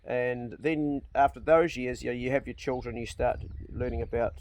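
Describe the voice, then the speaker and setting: A man's voice: mid-range voice, fairly even intonation, a little mellow, a little hiss or hum. Reflective New man in his fifties. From New Zealand. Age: 50s. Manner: reflective, unhurried, repeats words when starting. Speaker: channel host. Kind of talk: casual chat. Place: outdoors at a farm.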